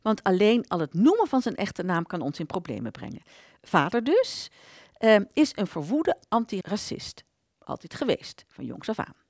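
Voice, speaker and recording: A woman in a Dutch radio play voicing an aborted idea, a bitter vanished thought, telling its tale dramatically with slow emphases. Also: medium pitch, very animated, darkish tone, no room sound, clean studio sound. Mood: proud.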